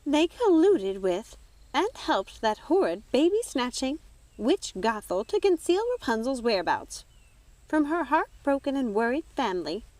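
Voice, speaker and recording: A woman's voice: sits high, sing-song, a little slow, a little mellow, dry recording, pitched above the performer's own voice. A woman voicing a prim, bossy old fairy godmother in a storybook, indignant, theatrical and officious. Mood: officious outrage.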